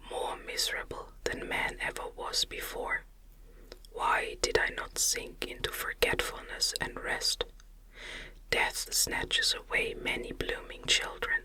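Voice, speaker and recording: A woman reading a classic novel aloud in a gentle, soothing, breathy ASMR whisper with careful, unhurried phrasing. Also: very high voice.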